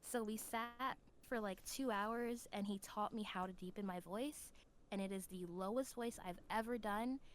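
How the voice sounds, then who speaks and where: A woman with a mid-pitched voice talks casually as an interview guest. She is a voice actor and tells her stories in an animated, giggly manner. There is some faint noise behind her. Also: moderate pace.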